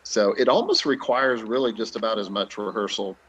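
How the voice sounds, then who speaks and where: A man's voice: lowish voice, a little fast, fairly bright tone, husky, muffled recording. A man talking casually in an interview as a theatre director and actor, explaining things in an informal, easygoing way.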